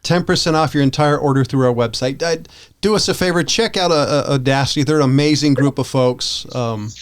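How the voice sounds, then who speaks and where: A man's voice: mid-range voice, fairly quick. A man co-hosting a podcast in casual chat, rambling along in an informal, enthusiastic way. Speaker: podcast co-host.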